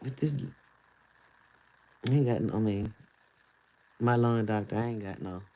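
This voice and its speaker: A man casually chatting on a livestream in a loose, rambling way, his voice going hoarse. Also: fairly low pitch, unhurried, dark tone, a little hiss or hum, rough recording. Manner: loose, rambling talk with easy laughs. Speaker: livestream host.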